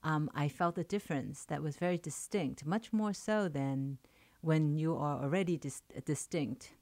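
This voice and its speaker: A woman's voice: low-pitched, a little slow, a little mellow, no room sound. A woman from China whose first language is Chinese, a poet and professor speaking as a radio interview guest, thoughtful, with many fillers and restarts. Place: radio studio.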